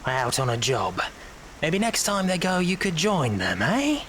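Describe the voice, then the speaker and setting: An actor playing a wily old leader of child pickpockets in an audio drama, coaxing in drawn-out phrases with faint menace. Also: male voice, on the high side, wildly swooping pitch, a bit bright, a little hiss or hum, full character voice.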